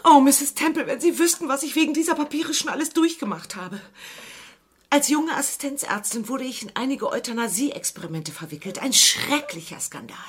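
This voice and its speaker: A troubled lady doctor in a radio whodunit. Her voice is fairly high with a breathy rasp. She confesses earnestly, leaning dramatically on her words, as one worn down by blackmail. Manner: earnest, dramatic, anguished emphasis. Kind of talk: radio crime drama acting.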